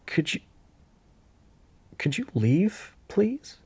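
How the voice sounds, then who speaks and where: A man playing an earnest, nervous young soft-boy union spokesman in an audio roleplay, speaking haltingly but with polite firmness. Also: sits high, very animated, a little hiss or hum, voice pushed up, full character voice. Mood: flustered, defensive. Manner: hesitant, halting, politely firm. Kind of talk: audio roleplay monologue, addressing the listener.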